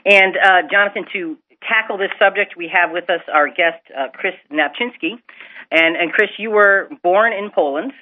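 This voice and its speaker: High-voiced, lively male radio host. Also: normal speed. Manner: animated, repeats words when starting. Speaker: radio talk show co-host. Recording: dull lo-fi radio broadcast with faint music.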